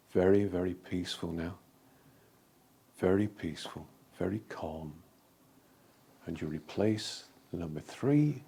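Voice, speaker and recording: A man leading a guided meditation in a calm, gentle, deliberate way, leaving frequent pauses between phrases. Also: deep voice, gravelly, slightly rough.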